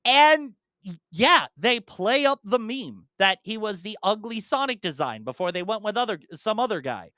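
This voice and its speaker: A podcast host chatting casually in an animated, rambling way, slipping into imagined dialogue. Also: mid-range voice, moderate pace, no room sound, clean studio sound. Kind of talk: casual chat, enthusiastic monologue about films.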